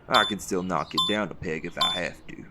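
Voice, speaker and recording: A man playing a seasoned, folksy leader of a hunt in an audio roleplay, gravelly and reassuring, with a fond teasing streak. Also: low voice, brisk, very noisy, lightly acted. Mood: playful challenge.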